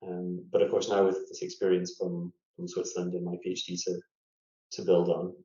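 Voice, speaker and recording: A man's voice: low-pitched, completely flat delivery, moderate pace, dark tone. A Scottish man, a scientist guest in a casual interview, telling his story thoughtfully with many fillers and restarts. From Scotland. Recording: echoey room, dull lo-fi audio.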